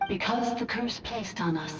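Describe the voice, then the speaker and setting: A woman voicing an ancient, compassionate dragon priestess in a video game, with measured, formal and grave delivery. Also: medium pitch, noisy background, close to an everyday voice. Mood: solemn, sorrowful. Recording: muffled distant game audio under music.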